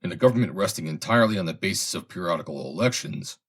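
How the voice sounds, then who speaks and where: Low, husky man reading formally. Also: expressive. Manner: measured, formal, deliberate phrasing. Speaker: reader, narrator. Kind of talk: scripted reading of a formal historical essay.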